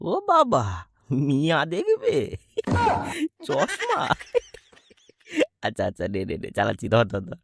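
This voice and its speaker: A man's voice: on the high side, huge pitch swings, slow pace, vocal fry, long pauses, noisy background, full character voice. A man voicing a swaggering young village sidekick in a cartoon, blustering and repeating his words. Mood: eager. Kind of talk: exaggerated cartoon dialogue.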